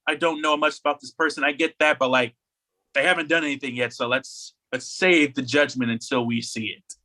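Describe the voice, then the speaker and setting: A man's voice: medium pitch, steady tone, brisk, sharp tone. A man co-hosting a podcast, talking casually and giving opinions in a rambling, emphatic way, often repeating himself. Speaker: podcast co-host. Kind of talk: casual opinionated chat about anime news.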